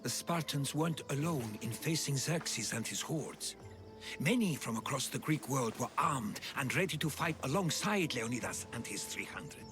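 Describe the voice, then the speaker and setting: A man playing a learned, earnest ancient Greek historian in a video game cutscene, telling his tales with measured, solemn pauses. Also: medium pitch, expressive, lightly acted. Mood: grave, storytelling. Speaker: storytelling companion character. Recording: game music behind the voice. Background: cinematic music.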